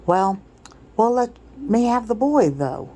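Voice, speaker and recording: A woman's voice: fairly high pitch, big pitch swings, slow, dark tone, voice pushed up, full character voice. A woman voicing a coarse, pushy male slave trader in a storybook read-aloud, with a wheedling, blustering, folksy rustic way of talking.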